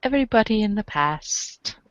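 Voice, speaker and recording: A woman's voice: mid-range voice, animated, normal speed, dry recording, dull audio. A woman reading second-person comic narration aloud for a podcast. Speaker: reader in a webcomic reading podcast.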